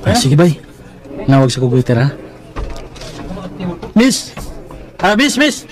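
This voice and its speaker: High, animated buddy. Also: male voice, slow pace. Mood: jovial, teasing. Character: chummy, excitable male best friend and drinking buddy. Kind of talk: radio drama acting.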